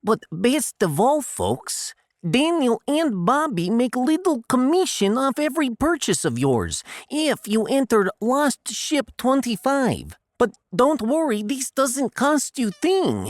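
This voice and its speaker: A man's voice: extremely high pitch, huge pitch swings, slow, few pauses, no echo, studio quality, exaggerated voice. A man playing a practical, explaining female spaceship officer in a comic audiodrama. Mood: salesy.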